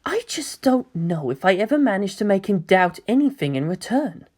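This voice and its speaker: A woman voicing a brooding, grandiose young male antagonist in a story reading, speaking in a hushed, grave manner. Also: mid-range voice, very animated, moderate pace. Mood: brooding regret. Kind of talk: dramatic audiobook character reading.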